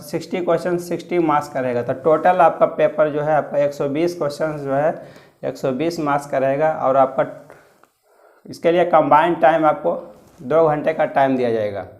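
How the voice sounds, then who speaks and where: A man's voice: fairly high pitch, darkish tone. A man with an Indian accent explaining exam guidance as a coaching teacher, repeating and rephrasing his points in two languages. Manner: repeats and rephrases points, switching between Hindi and English.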